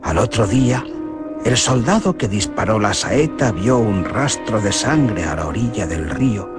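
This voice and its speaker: A man's voice: animated, unhurried, music in the background. A man narrating a radio horror drama in a theatrical, dramatic way. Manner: theatrical, with big swings in pitch. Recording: noisy radio drama recording.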